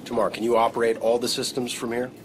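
A man with an American accent playing a cocky, decisive young starship captain in a sci-fi game, brisk, wry and commanding. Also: mid-range voice, level tone, background noise, close to an everyday voice. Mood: wry, confident. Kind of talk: scripted sci-fi game acting. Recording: music loud under the voice.

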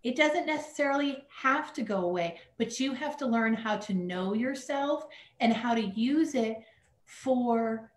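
A middle-aged woman, an ADHD coach as guest, speaking in an affirming, emphatic way over a video call from a home office. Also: fairly high pitch. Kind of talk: interview answer. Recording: roomy video-call sound.

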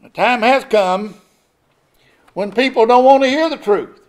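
Older man, high-pitched preacher, builds emphasis, chuckles now and then, pulpit mic. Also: a little slow, a little mellow. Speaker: pastor. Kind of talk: sermon. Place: church sanctuary at a pulpit. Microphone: pulpit microphone.

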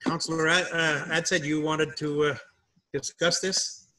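Bright-voiced man chairing a meeting. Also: a little high, flat delivery, a little slow, rough recording. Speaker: mayor chairing a council meeting. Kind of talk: informal meeting discussion. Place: remote video call from a room. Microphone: video call.

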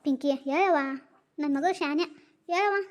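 A woman's voice: high-pitched, close to an everyday voice. A middle-aged woman with a North Karnataka Kannada accent, playing an anxious mother who coaxes her daughter and defends herself in a family drama skit. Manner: coaxing, defensive, rapid scolding.

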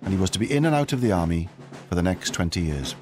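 A man narrating a documentary in a calm, measured scripted delivery. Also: sits low, over loud music.

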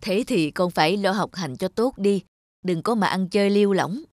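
Woman voicing a gentle, hardworking village mother. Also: mid-range voice, moderate pace, dry recording, clean studio sound, lightly acted. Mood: admonishing. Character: hardworking, caring village mother with grey hair in a bun. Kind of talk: animated drama dubbing.

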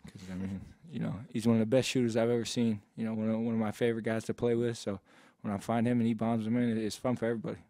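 A young college basketball player fields postgame questions at a press table, talking quickly in a low, flat, laid-back way. His voice sits a bit low and sounds dry and close on the table mic. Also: no room sound. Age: young adult. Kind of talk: postgame press conference answers. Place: press conference room. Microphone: table mic on stand.

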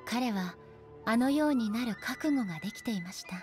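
An actress as a regal goddess ruler in an anime game. Her voice sits fairly high, yet she is solemn, formal and composed. Faint music plays behind her. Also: animated, normal speed, close to an everyday voice. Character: dignified, solemn female ruler deity. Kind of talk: Japanese anime game voice acting.

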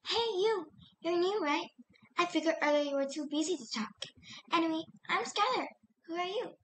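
Cartoon animal schoolgirl, acted by a woman: high-pitched, bubbly, eager, exclaiming, talks at you brightly. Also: animated, normal speed. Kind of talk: character audition lines.

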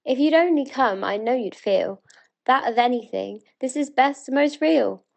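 A British woman playing an eager, wonder-struck townswoman in a dramatic verse reading, earnest and excited. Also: sits high, normal speed, creaky, heavily acted. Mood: pleading.

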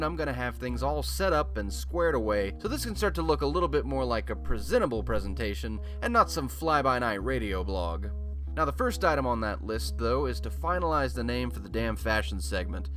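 Male actor as wry superhero-city radio host. Casual, conversational, animated pitch, soft music underneath. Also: lightly acted. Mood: breezy, wry. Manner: wry, conversational, mildly sweary. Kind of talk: casual scripted radio monologue.

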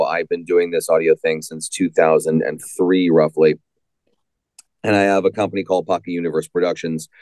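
A man's voice: low-pitched, darkish tone, smooth, no room sound. A droll man chatting casually as an interview guest about his audio work. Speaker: guest, audio drama writer and producer.

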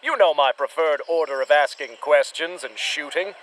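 An actor with an American accent playing a dim, swaggering, bombastic monster hunter in a retro radio serial. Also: male voice, fairly high pitch, moderate pace, fairly bright tone, close to an everyday voice, low-quality recording. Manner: bombastic, boastful, theatrical.

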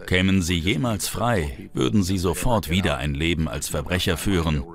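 A stern male interviewee in a crime documentary. He speaks in a very low voice. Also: moderate pace, creaky. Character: stern man condemning violent criminals. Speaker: voice-over of an interviewee.

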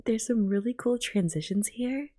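A young woman giving gushing, casual reaction commentary in a hushed, half-whispered ASMR manner, close to the microphone. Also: fairly high pitch, normal speed. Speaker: VTuber reacting to a game trailer.

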